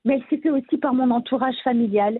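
A woman's voice: high voice, fast talker, a little hiss or hum. A woman from France of Moroccan Berber family, an author answering interview questions thoughtfully, with frequent hesitations. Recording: tinny phone-like remote line.

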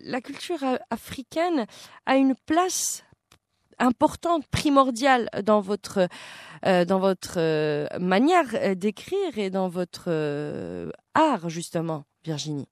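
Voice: mid-range voice, big pitch swings, takes it slowly, dry recording. Polished radio host, dry close sound. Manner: polished, formal presenter delivery. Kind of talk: interview host and show presenter.

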